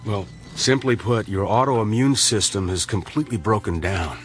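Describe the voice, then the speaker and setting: Actor as a low-voiced, principled male physician. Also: natural voice. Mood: grave. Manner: dry, measured, sardonic asides. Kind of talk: radio drama acting.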